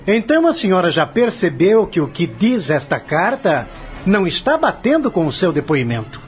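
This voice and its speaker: A man's voice: high voice, wildly swooping pitch, moderate pace, faint music behind. A man with a Brazilian accent playing a stern courtroom examiner who questions formally and insistently in an audio drama. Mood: probing. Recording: dull, lo-fi audio.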